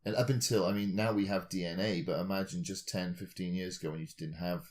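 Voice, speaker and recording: A man's voice: deep voice, fairly even intonation, fast talker, darkish tone. A man in a casual discussion, reasoning analytically aloud and posing rhetorical questions as a podcast co-host.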